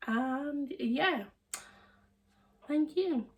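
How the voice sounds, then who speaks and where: A woman hosting her sewing channel, chatting to camera from her living room with a hoarse, croaky voice and frequent laughs. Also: high-pitched, lively intonation, drawn-out words, far from the mic. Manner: chatty, laughs often, meandering. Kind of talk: casual chat to camera.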